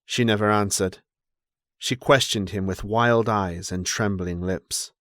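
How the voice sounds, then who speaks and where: A man narrating an audiobook as a measured, dramatic storyteller reading scripted literary prose. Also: fairly low pitch, fairly even intonation, a bit bright, dry recording, studio quality.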